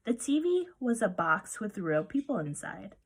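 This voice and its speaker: Expressive woman reading a storybook. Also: mid-range voice, normal speed, slightly rough. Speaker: read-aloud narrator. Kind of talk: reading a children's picture book aloud.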